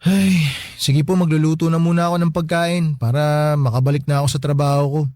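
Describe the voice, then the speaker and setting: A man's voice: on the high side, steady tone, a little fast, a little mellow, dry recording, studio quality, natural voice. A man playing a meek, polite young working son in a radio drama, shy and submissive in manner. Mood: meek, patient. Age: young adult. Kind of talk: Filipino radio drama acting.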